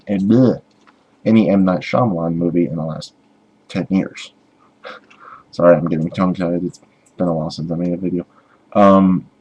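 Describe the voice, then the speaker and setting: A man giving a casual opinion rant as a video blogger, halting and rambling, with sarcastic griping. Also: low voice, a little mellow, slightly rough, poor audio.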